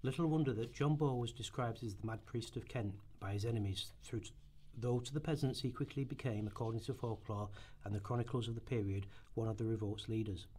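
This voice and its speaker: A man's voice: mid-range voice, brisk, dark tone, husky. A man narrating a scripted historical radio play in a formal, earnest manner.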